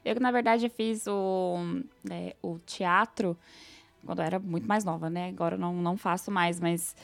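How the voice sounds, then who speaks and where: A woman's voice: on the low side, steady tone, moderate pace, gravelly. A woman with a Brazilian accent co-hosting a podcast in casual, conversational talk. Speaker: podcast co-host. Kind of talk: casual chat.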